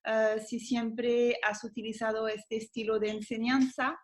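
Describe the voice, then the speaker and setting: A woman hosting an interview, asking questions in a measured, encouraging way. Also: a little high, flat delivery. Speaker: interviewer, language teacher.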